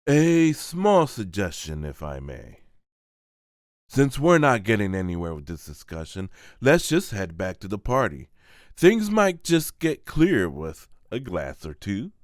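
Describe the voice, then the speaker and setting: A man acts a sly, easygoing knight from a fantasy manga. He is polite and suave, with a lively, swooping pitch and a leisurely pace. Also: measured pace, no room sound, studio quality. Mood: breezy. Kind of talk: dramatic manga voice-over.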